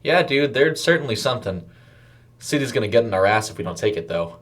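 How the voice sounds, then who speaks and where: Brash young workwoman, voiced by a man, slightly raised pitch. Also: lively intonation, a little fast, close to an everyday voice. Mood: casual agreement. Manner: slangy, teasing. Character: brash, playful woman worker in her early thirties.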